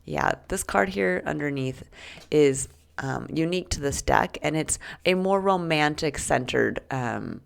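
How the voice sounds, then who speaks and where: A woman reading tarot cards for the week ahead, talking gently and reflectively with soft asides; she is sick and losing her voice. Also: sits low, expressive, measured pace, vocal fry. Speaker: tarot reader. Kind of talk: weekly tarot reading to camera.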